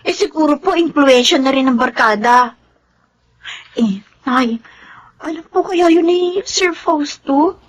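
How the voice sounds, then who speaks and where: An actress playing a polite, devoted young daughter and student who speaks earnestly and respectfully. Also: female voice, high voice, slow pace. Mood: alarmed. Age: young adult. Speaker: radio drama actor.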